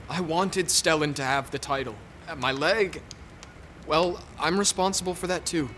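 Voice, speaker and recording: An actor playing a gentle, earnest aristocratic son and peacemaker, speaking sincerely and imploringly in an audio drama. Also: male voice, fairly high pitch.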